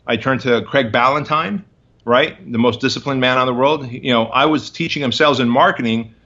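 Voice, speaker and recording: A man's voice: mid-range voice, brisk, pushed and bright, highs cut off. A man in his forties, a guest in an interview, telling his story in emphatic, punchy short phrases. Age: 40s.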